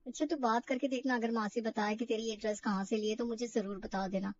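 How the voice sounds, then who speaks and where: Inquisitive young college woman acted by a storyteller, high, chatty, slightly dull recording. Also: steady tone, quick pace, a little mellow, recorded from a distance, slight background noise, close to an everyday voice. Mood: curious. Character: curious, fashion-loving young female college friend.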